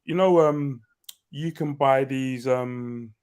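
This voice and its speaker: A male co-host bantering in quick, jokey casual chat over a video call. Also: mid-range voice, a little mellow, clear, resonant tone.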